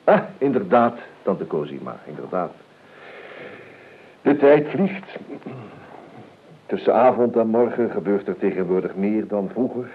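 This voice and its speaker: An eccentric, evasive gentleman in a radio drama. He stammers and repeats himself with ironic courtesy, swinging widely in a low register. The old recording is thin and narrow. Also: expressive, measured pace, long pauses, husky, exaggerated voice. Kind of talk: radio play acting. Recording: tinny, band-limited old radio recording with noise.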